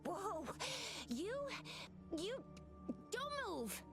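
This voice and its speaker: A woman's voice: very high-pitched, sing-song, very slow, creaky, over music, full character voice. A woman playing an alarmed, blustering officer in a video game, urgently giving orders. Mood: alarmed, angry. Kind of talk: video game character acting.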